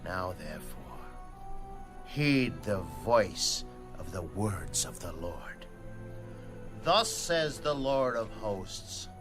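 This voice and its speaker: An actor playing a stern, solemn prophet delivering God's command in a dramatised audio Bible. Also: male voice, medium pitch, pushed and bright. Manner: slow, deliberate, solemn.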